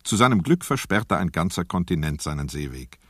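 A man narrating a radio drama as a storyteller, in a measured, scripted literary style with an ironic edge. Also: sits low, very animated, a bit bright, husky, no room sound, studio quality, heavily acted. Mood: measured, wry. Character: sober, wry storyteller narrating a seafarer's fate.

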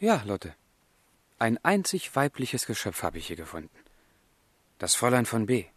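A man's voice: mid-range voice, very animated, moderate pace, fairly bright tone, breathy edge, no echo. An actor playing a passionate, melancholy young man in love, speaking earnest literary German radio drama lines. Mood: tender, wistful. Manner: earnest, literary, brooding, emotional.

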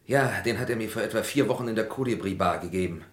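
Man acting a subdued murder suspect in a radio play, deep voice, echoey room sound. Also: lively intonation, fast, lightly acted. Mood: subdued, worried. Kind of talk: German radio crime drama acting.

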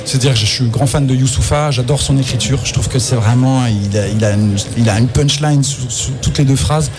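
A man's voice: mid-range voice, a little fast, background noise. A man in his forties, a musician guest in a live radio interview, talking hesitantly with many fillers and restarts. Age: 40s. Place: festival radio stand.

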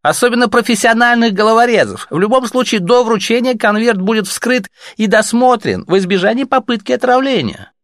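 A man doing a cold, high-voiced officer. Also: expressive, a little fast, a bit bright, dry recording, clean studio sound. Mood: cool formal. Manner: aloof, formal, coldly courteous. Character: cool, detached officer with a polite, bureaucratic tone.

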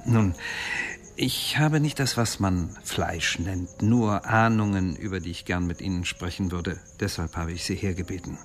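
An actor playing a courteous academic confiding in the police in a German radio crime drama, formal and halting. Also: male voice, deep voice. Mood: hesitant confiding.

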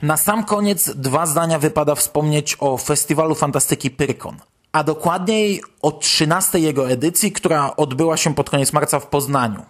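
A man's voice: a little high, moderate pace. A man hosting a podcast and commenting on news. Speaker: podcast host.

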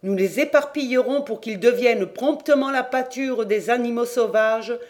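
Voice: medium pitch, normal speed, pitched above the performer's own voice, close to an everyday voice. A reader doing a harsh, callous villager. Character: rough grown male villager, harsh and callous.

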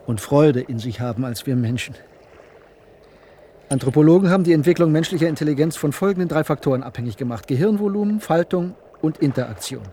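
An actor playing an obsessed, resolute whale researcher and diver in a German radio play. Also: male voice, medium pitch, mellow, a little hiss or hum, close to an everyday voice.

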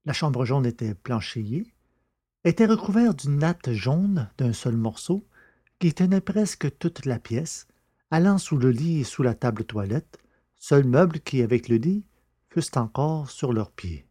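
A man's voice: medium pitch, lively intonation, measured pace, dark tone, slightly rough, no echo, studio quality. A man reading scripted literary narration as the narrator of an audiobook.

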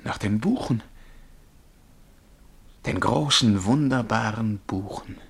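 An actor playing a dreamy young clerk in a German radio play, telling his memories in a halting, dramatic way. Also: lowish voice, vocal fry, breathy edge, exaggerated voice. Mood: hushed wonder. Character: Charlie, dreamy young bank clerk recalling past lives.

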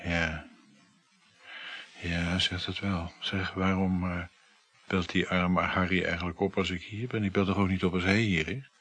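Insecure, low-voiced jealous lover. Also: male voice, steady tone, measured pace, fairly bright tone, slightly rough, heavily acted. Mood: puzzled, wry. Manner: hesitant, questioning, muttering asides. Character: insecure, jealous married lover probing his mistress. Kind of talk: naturalistic radio play acting.

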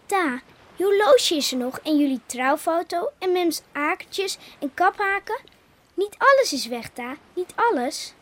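An actress playing a young fishing-village wife who gently reassures her father, hesitant at first and then steady. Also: very high-pitched, a little slow, full character voice. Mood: soothing. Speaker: daughter breaking bad news.

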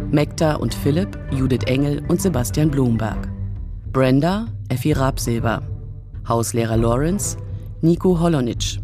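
A male announcer reads radio play credits formally and deliberately. His voice is medium in pitch, with music clearly playing behind him.

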